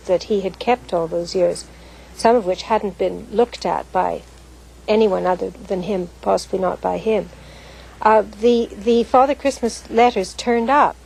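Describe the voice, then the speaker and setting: Husky, creaky woman, speaking carefully. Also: medium pitch, a little mellow, low-quality recording. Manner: careful, halting. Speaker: interviewee recalling family papers. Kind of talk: reflective interview.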